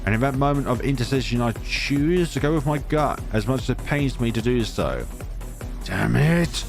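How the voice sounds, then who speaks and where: A man's voice: mid-range voice, lively intonation, a little fast. Theatrical man reading a story over music. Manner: theatrical, stumbles and corrects himself. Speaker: let's play host. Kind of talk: dramatic read-aloud of a visual novel with asides.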